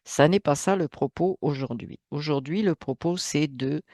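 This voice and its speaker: A man's voice: a little high, normal speed, creaky, a little mellow, no room sound, studio quality. A man hosting his own channel, giving a spoken monologue in a hesitant way with many fillers, restarts and emphatic asides. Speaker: channel host.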